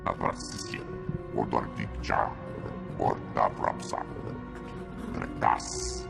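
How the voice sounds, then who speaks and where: A hulking reptilian alien from a video game, growling slowly in a guttural alien tongue. The voice is extremely deep and thick with gravelly croak. Loud music plays behind it. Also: male voice, fairly even intonation, full character voice. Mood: guttural, deliberate.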